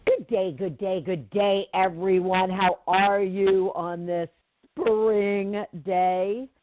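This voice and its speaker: A woman's voice: medium pitch, fairly even intonation, slow pace, no room sound. Nasally woman hosting call-in radio. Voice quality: nasally from pollen. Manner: chatty, rambling, frequent fillers and restarts. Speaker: radio show host. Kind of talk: casual live talk radio monologue. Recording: tinny phone-like line.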